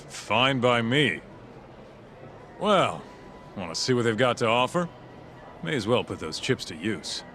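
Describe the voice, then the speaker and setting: A man's voice: medium pitch, big pitch swings, takes it slowly, long pauses, fairly bright tone. An actor playing a brash, easygoing tough guy sidekick in a video game, casual and joking with a needling edge. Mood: cocky, amused. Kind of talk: video game cutscene acting.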